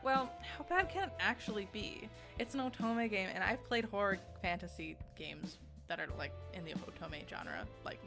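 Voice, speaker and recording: A woman talks through a casual video review in an ordinary mid-pitched voice. Her delivery is chatty and giggly, wandering off and interrupting itself as it goes. Music plays underneath her. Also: sharp tone. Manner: rambling, self-interrupting, giggly. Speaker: video game reviewer and streamer. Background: background music.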